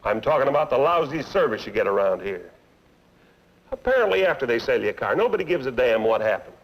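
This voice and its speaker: A man's voice: medium pitch, big pitch swings, fast, low-quality recording. An actor playing an angry male car owner in a vintage training film, blunt and sarcastic as he complains about bad service. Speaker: dissatisfied customer. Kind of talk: 1950s training film acting.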